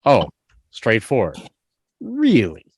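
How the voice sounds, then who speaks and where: A man's voice: mid-range voice, huge pitch swings, slow pace, slightly rough, dry recording, clean studio sound, heavily acted. A man acting an exasperated, sarcastic teenage guy in a dramatic table read over a video call. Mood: skeptical, sarcastic.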